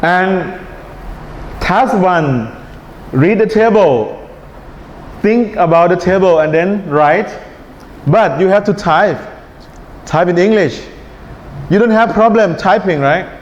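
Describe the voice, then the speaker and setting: A man with a Thai accent teaching an IELTS writing class in a relaxed lecture style, often checking in with his students. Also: high voice, slow, long pauses. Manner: relaxed, checks in with "right?" and "okay?". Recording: echoey hall, dull sound, faint hiss.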